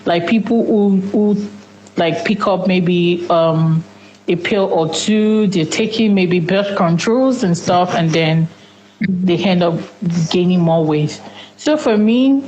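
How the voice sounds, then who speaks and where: A young Nigerian woman whose first language is Yoruba, speaking English as an interview guest and telling her own story in a reflective, measured way with frequent restarts. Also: lowish voice, darkish tone, echoey room, a little hiss or hum, poor audio. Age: young adult.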